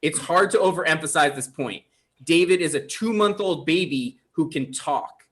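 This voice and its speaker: A man with a slightly high voice reads screen directions for a live script reading. His delivery is informal and a bit halting, like a writer presenting his own work. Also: a bit bright. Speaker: writer and stage-direction reader.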